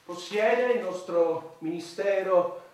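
An actor playing a pompous, officious head of state in a satirical radio play, speaking emphatically and deliberately. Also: male voice, sits high. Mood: bureaucratic, unruffled. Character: pompous male head of state chairing war council. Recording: very echoey room, far from mic, faint noise.

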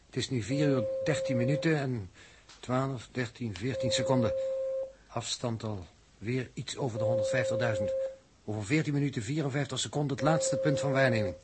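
An actor playing a precise, matter-of-fact spaceship navigator with an urgent edge in a 1960s Dutch radio drama. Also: male voice, medium pitch, very animated, normal speed, close to an everyday voice. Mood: urgent, factual. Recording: noisy old radio drama recording.

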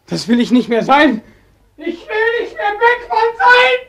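An actor playing a bitter, desperate soldier back from war in a German radio drama, anguished, rhetorical and repetitive, moving between whispers and cries. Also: male voice, very high voice, huge pitch swings, unhurried, a bit bright, full character voice.

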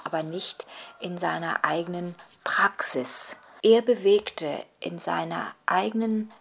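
A woman with a breathy, half-whispered voice that sits slightly low. She talks unhurriedly, weighing each phrase and filling gaps with soft hesitations, in a reflective commentary manner. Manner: slow and hesitant, pausing with fillers. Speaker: expert interviewee. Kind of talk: thoughtful spoken commentary.

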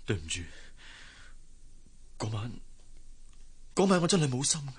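An actor playing a lovesick, jealous master of the house in a Hong Kong Cantonese radio drama, intense and pleading. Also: male voice, medium pitch, big pitch swings, measured pace, breathy edge, heavily acted. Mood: apologetic, pleading.

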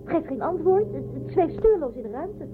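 A woman's voice: very high-pitched, big pitch swings, fairly quick. A woman in a radio drama playing a keen, strong-willed young spaceship crew member with an insistent manner. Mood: alert. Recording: tinny phone-like narrowband audio. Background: music.

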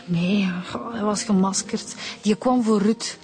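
A woman's voice: medium pitch, fairly even intonation, slow pace, music in the background, natural voice. A woman with a Flemish accent playing a determined, frank police detective in a radio drama. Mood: subdued. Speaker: actor in a radio crime serial.

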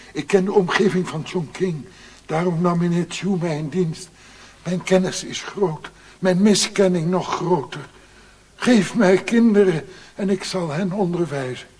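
A man's voice: high-pitched, a little slow, darkish tone, breathy edge. A man playing an aging, self-pitying and boastful Chinese scholar in a radio drama, speaking obsequiously and plaintively. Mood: pleading, humble.

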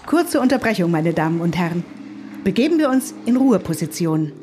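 An actress in a German radio play playing a detached, irritable mother and lecturer, speaking in a measured, formal, didactic way. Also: lowish voice, big pitch swings, music in the background. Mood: formal lecturing. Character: Vashti, detached, irritable mother and lecturer in machine-run future.

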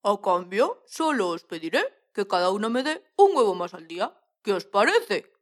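Woman doing a sly salesy farmer for a kids story, mid pitched, very theatrical. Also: very animated, normal speed, close to an everyday voice. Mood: bargaining. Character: crafty, persuasive male farmer selling deals to hens. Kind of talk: children's audio story acting.

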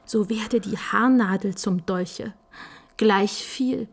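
A woman playing a devout, passionate young daughter in a classical radio tragedy, with a breathy, husky voice and theatrical, pleading delivery. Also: medium pitch, a little hiss or hum. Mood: desperate pleading. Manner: slow, fervent, theatrical whispering and pleading. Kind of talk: mid-century German radio play acting, classical tragedy.

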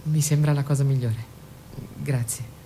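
A woman's voice: fairly even intonation, a little slow, dark tone. An actress playing a timid, distraught middle-aged mother in a radio drama, hesitant and pleading with anxious questions. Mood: sorrowful. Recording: noisy radio recording with low rumble.

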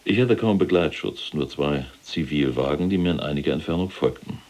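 An actor playing a proud, callous, imprisoned SS general recounting the war in a gravelly, husky, self-important military manner in a radio drama. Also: male voice, extremely low pitch, a little fast, a little mellow. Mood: cold, boastful. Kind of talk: dramatized first-person war recollection.

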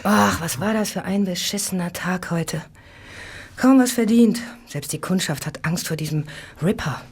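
An actress in a German radio play voicing a brash, cheeky young Victorian prostitute with slangy, impatient talk. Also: female voice, lowish voice, normal speed, a little mellow, breathy edge. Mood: chatty, coaxing.

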